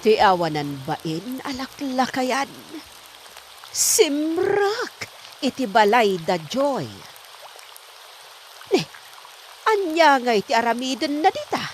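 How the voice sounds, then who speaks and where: A woman's voice: sits high, sing-song, slow, long pauses, slight background noise, exaggerated voice. A woman playing a suspicious, scolding middle-aged wife in an Ilocano comedy drama, with an Ilocano accent.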